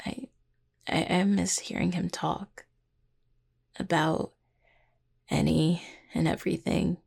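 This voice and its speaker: A woman playing a grieving, tender young necromancer in an intimate audio roleplay, speaking haltingly and apologetically to a partner. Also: fairly low pitch, steady tone, vocal fry, a little mellow, breathy edge, full character voice. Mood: grieving, wistful.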